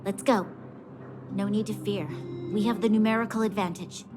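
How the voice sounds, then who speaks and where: A woman voicing a composed, principled young female warrior in a video game, with measured, formal phrasing. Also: medium pitch, fairly even intonation, music in the background, natural voice. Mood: resolute, reasoning. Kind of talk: video game cutscene voice acting.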